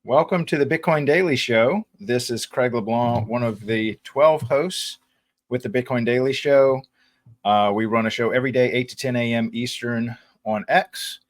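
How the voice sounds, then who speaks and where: A man's voice: mid-range voice, normal speed, dry recording, clean studio sound. A man hosting an online interview show over a remote call, talking casually and rambling between questions. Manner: chatty, rambling, friendly questions. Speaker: podcast host. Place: home in New Orleans.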